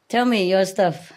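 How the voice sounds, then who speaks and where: A woman's voice: mid-range voice, a little mellow. A woman spiritual teacher chatting casually with a group, teasing them and laughing often.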